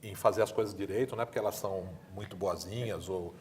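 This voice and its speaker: A man's voice: sits low, fast talker, slight background noise. A man with a Brazilian accent speaking as a panelist, often adding little tag questions to his points. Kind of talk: panel discussion.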